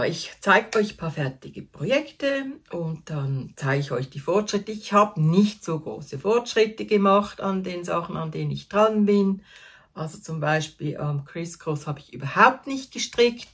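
A Swiss German woman in her fifties talks casually to the camera from her craft corner at home. Her voice sits a little low, with a husky, gravelly edge. She rambles cheerfully and pokes fun at her own slips. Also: a bit bright. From Switzerland. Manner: chatty, self-deprecating, restarts and fillers, laughs at herself. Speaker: knitting vlogger.

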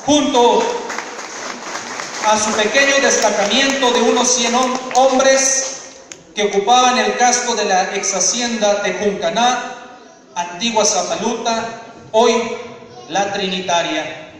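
A man's voice: high voice, fairly bright tone, a faint crowd. Mexican man giving a solemn speech. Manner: solemn, measured oratory. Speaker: speaker at a commemoration. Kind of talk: formal commemorative speech. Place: large open-sided community hall. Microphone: podium microphone through PA speakers, filmed from afar. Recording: echoey PA sound from far off.

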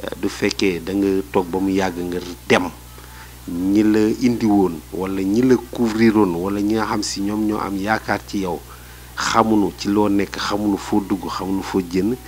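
A middle-aged Senegalese man answering a street interview indoors, measured and hesitant with many fillers. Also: fairly low pitch, steady tone, a little mellow, a little hiss or hum. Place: indoors in a small room.

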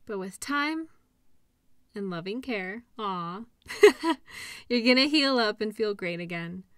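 A woman's voice: mid-range voice, animated, takes it slowly, fairly bright tone, smooth, no echo, studio quality. A woman playing a caring, upbeat girlfriend in an intimate roleplay audio, talking in a soothing, playful, encouraging way. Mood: tender, sympathetic. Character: caring, upbeat girlfriend comforting an injured partner.

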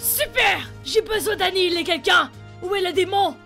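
Very high female voice, fierce hot-headed warrior woman acting, aggressive, cartoon dub, music behind. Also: normal speed, bright, forceful tone, full character voice. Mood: bloodthirsty. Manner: aggressive, exclamatory.

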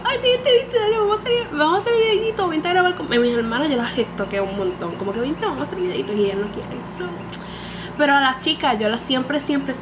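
Bubbly young Puerto Rican woman vlogging. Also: high voice. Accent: Puerto Rican Spanish. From Puerto Rico. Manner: bubbly, animated, mixes English words into her Spanish. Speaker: beauty vlogger. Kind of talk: casual chat to camera. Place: small room indoors. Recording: home webcam recording with background noise.

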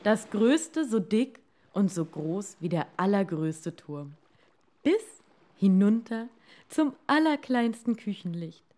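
Female storyteller for bedtime fairy tales: mid-pitched, slow, expressive, deliberate pauses. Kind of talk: bedtime fairy-tale narration.

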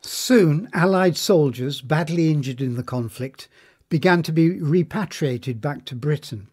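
Higher-pitched man, formal narration. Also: lively intonation, measured pace, darkish tone, husky. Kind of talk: scripted biographical narration.

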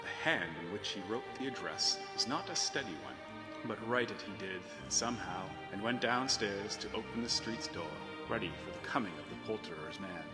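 Low-voiced male storyteller in reverberant hall. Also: animated, moderate pace. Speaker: narrator. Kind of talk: scripted dramatic storybook narration. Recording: long hall reverb, music behind.

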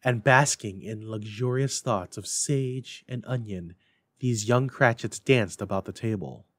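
A man's voice: mid-range voice, no room sound, clean studio sound. A man reading a story aloud in a dramatic storybook narration style.

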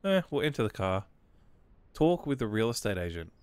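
A man with a cold casually commentating his own gameplay, reading on-screen prompts aloud and thinking out loud as he plays. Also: on the low side, big pitch swings, normal speed, clean tone, no echo. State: has a cold. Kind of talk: casual let's-play commentary.

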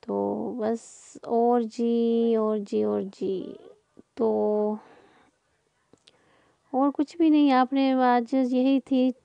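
A middle-aged woman talks through home cooking in a loose, conversational way. Her voice sits a little high but is warm and dark in tone, with little melodic movement. The sound is close and dry, like an informal voice-over. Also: steady tone, extremely slow, creaky, pauses often, clear, resonant tone, no echo, dull audio. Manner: conversational, rambling. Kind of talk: casual chatty cooking voice-over.

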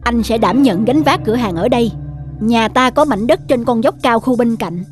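A woman's voice: a little high, moderate pace, over music, close to an everyday voice. Woman as a bossy, scheming merchant brother. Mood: slyly persuasive. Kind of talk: cartoon dubbing.

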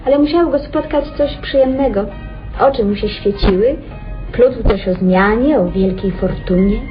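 A woman's voice: fairly high pitch, moderate pace, lightly acted. A woman acting in a vintage radio play as a good-natured, naive waitress, talking in a casual, gossipy way. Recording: dull old radio recording with music.

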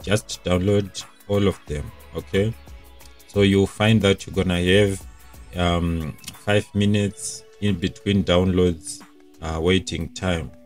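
A man's voice: deep voice, steady tone, slow, darkish tone, soft background music. A young man presenting a casual step-by-step tech tutorial in an informal, explanatory way.

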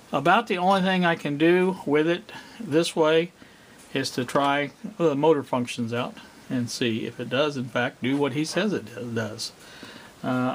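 A middle-aged man giving a casual, unscripted demonstration, halting and rambling as he thinks aloud. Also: on the high side, a little hiss or hum. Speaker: hobbyist presenter. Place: indoor home workspace.

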